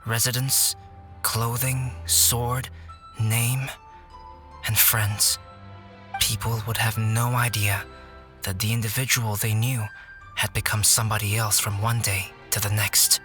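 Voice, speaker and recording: A man playing a calm, guarded young alchemist in a video game, speaking in a measured, reflective and articulate way with a touch of melancholy. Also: lowish voice, faint music behind. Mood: ominous storytelling. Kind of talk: video game cutscene acting, storytelling.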